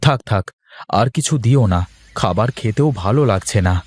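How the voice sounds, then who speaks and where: A man's voice: medium pitch, animated, moderate pace, no echo, natural voice. A man voicing a worried, weary office worker and husband in a cartoon, grumbling in a plain-spoken way. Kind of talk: acted cartoon dialogue.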